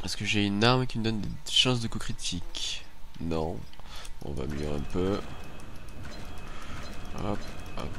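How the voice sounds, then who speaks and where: The man you'd hear on a homemade let's play, sharp-voiced and stuffed up with a cold, chatting informally over faint game noise. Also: fairly low pitch. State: has a head cold. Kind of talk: casual gaming commentary.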